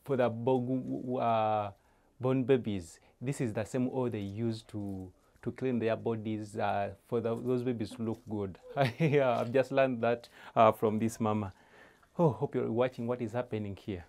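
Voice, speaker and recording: A young man hosting a casual cooking vlog, speaking haltingly and repeating his phrases. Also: mid-range voice, fairly even intonation, vocal fry, darkish tone. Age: young adult. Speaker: vlog host.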